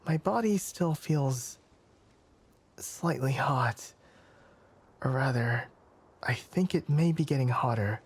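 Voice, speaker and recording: A man playing a flustered, formal young fox-eared forest scholar in an intimate ASMR anime roleplay, hesitant and stammering, often slipping into a breathy whisper. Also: mid-range voice, animated, slow, long pauses, heavily acted. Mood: woozy, uneasy.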